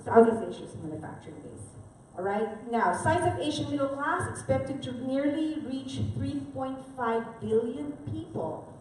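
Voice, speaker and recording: A woman from the Philippines presents to a live audience in a relaxed, conversational way, often pausing to check in with the room. Her voice sits a little high and is picked up from well back, washed in heavy hall echo with faint ambient noise underneath. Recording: echoey hall from far off.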